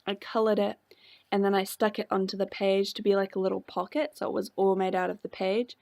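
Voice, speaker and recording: Slightly hoarse, gravelly young woman. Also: medium pitch, little pitch movement, a little fast, a little mellow. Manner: chatty, rambling with many "like" fillers and small laughs. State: slightly hoarse from a coming cold. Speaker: craft vlogger. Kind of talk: casual chat showing a journal.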